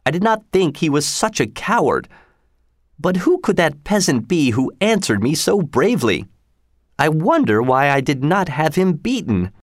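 A man's voice: big pitch swings, moderate pace, no room sound, clean studio sound. A man putting on a pompous haughty sheriff. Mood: puzzled. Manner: haughty, raised pitch. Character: pompous proud middle-aged male sheriff.

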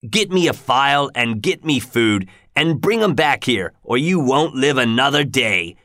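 A fearsome escaped convict threatens in slow, drawn-out words, as a man reading the story puts him on. His delivery is menacing and deliberate, and the sound is dry and close. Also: medium pitch, animated, bright, forceful tone, no echo, voice pushed up. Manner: menacing, growling.

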